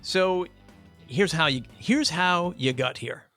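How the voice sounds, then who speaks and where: A man's voice: fairly high pitch, slow pace, fairly bright tone, faint music behind. A man hosting a podcast in a casual, ad-libbed way, chatty and informal, repeating phrases for emphasis. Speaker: podcast host. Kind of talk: casual ad-libbed sponsor reads and interview.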